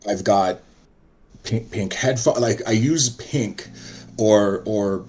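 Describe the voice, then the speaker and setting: A man speaking as a guest in a casual conversation, with a very low voice and a gentle, thoughtful manner. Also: slow.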